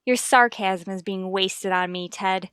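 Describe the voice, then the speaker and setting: A woman's voice: medium pitch, fairly even intonation, a little slow, a bit bright, clean tone, no room sound, clean studio sound, close to an everyday voice. An actress playing an earnest, determined wife and worried mother in a radio soap, speaking in a firm, formal, reasoning way. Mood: firm, indignant. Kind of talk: radio soap opera acting.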